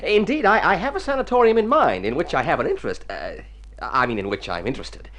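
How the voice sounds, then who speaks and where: A man's voice: fairly high pitch, big pitch swings, fast talker, fairly bright tone. A man playing a formal, dry, self-interested doctor in a radio drama, precise with small hesitant hems. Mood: smoothly persuasive. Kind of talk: mid-century radio drama acting.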